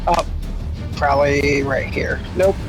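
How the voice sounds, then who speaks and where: A man's voice: on the high side, steady tone, takes it slowly. A man chatting casually as a player in a tabletop game. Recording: clear music playing behind the voice. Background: music.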